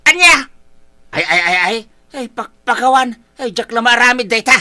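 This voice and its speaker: A man with an Ilocano accent playing a flustered, nagging village husband, stammering and excitable in a comedy drama. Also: very high voice, normal speed, no echo, heavily acted.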